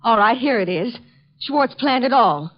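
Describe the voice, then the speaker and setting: Cornered young woman, high voice, urgent, emphatic, vintage radio melodrama, muffled lo-fi sound. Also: expressive, measured pace. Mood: bitter, confessing. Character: cornered young woman schemer, agitated and defiant. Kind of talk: 1950s American radio drama acting. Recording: dull vintage 1950s radio recording.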